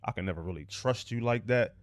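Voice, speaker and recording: A man's voice: medium pitch, fast talker, no echo, clean studio sound. A man in his thirties co-hosting a podcast, talking candidly and emphatically, swearing freely in casual chat. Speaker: podcast co-host.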